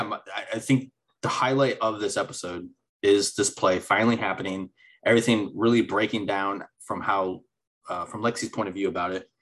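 A man's voice: fairly low pitch, a bit bright. A man on a podcast recapping a TV episode in casual chat, rambling with many fillers and restarts. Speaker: podcast co-host.

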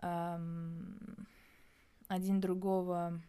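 A young adult woman vlogging in a casual reflective monologue, thinking aloud and pausing often to find her words. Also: lowish voice, flat delivery, warm tone, clean tone. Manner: thinking aloud, hesitant with many pauses.

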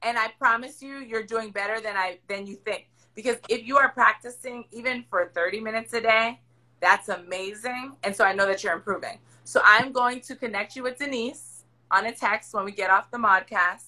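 A woman hosting a podcast, with a raspy voice, talking loudly and emphatically in casual chat. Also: on the high side, normal speed, poor audio. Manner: loud, emphatic, encouraging. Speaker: podcast host. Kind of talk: casual chat over a video call.

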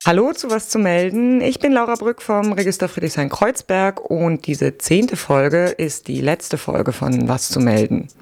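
Woman hosting a calm scripted podcast. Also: sits low. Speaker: podcast host.

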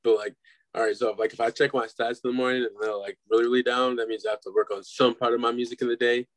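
A man's voice: mid-range voice, little pitch movement, fast talker, no room sound. A man in his twenties from Milwaukee, a dubstep producer talking easily as an interview guest, with casual slang and loose laughter. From Milwaukee, Wisconsin, United States. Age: 20s. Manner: laid-back, says "bro", laughs between phrases.

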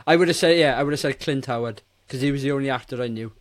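A man's voice: mid-range voice, very fast. A man in his forties co-hosting a casual film review podcast, talking in a rambling, hesitant way. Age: 40s.

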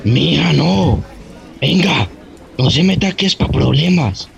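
An actor playing a wary, cautious man with a gravelly voice and a Colombian accent in a radio drama. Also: fairly high pitch, expressive, slow pace, slight background noise, full character voice. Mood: wary, hushing.

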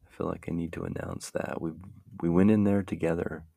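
A man with a very deep, gravelly voice hosts a casual talk, rambling slowly and thinking aloud as he goes. The sound is dry and close. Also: fairly even intonation, dark tone, no room sound. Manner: meandering, muses aloud while browsing. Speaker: podcast host.

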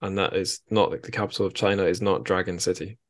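A man's voice: deep voice, steady tone, fast talker, dry recording, clean studio sound. A man chatting casually as a podcast host, with a wry, dryly joking manner.

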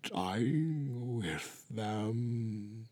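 A man voicing an ancient female tortoise historian who speaks gravely in a storybook. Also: fairly low pitch, lively intonation, darkish tone, no room sound, pitched above the performer's own voice, heavily acted. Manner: grave, slow.

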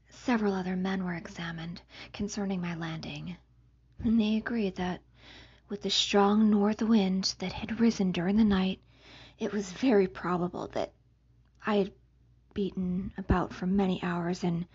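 A woman reading a gothic novel aloud as scripted narration, in a very breathy, whispery voice with measured pauses between phrases. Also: mid-range voice, a little mellow, lo-fi sound. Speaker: audiobook reader, podcast host.

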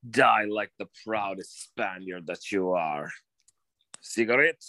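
A man's voice: fairly low pitch, takes it slowly, bright, forceful tone, no echo, voice pushed down, exaggerated voice. A man voicing a solemn, grandiose military executioner, declaiming pompously in mock radio melodrama. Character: solemn, grandiose male military executioner addressing a condemned bandit.

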